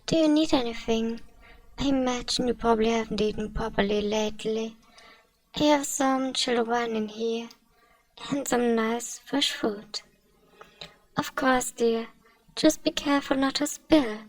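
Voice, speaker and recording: Girl doing a gentle rich vampire lady for asmr, soothing n genteel, kinda creaky voice, bit back from the mic. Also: fairly high pitch, unhurried, no room sound, distant mic, poor audio. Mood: gentle, caring. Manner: soothing, genteel, addresses listener as dear. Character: gentle wealthy female vampire, doting, genteel, possessive owner. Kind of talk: ASMR roleplay, soft scripted acting.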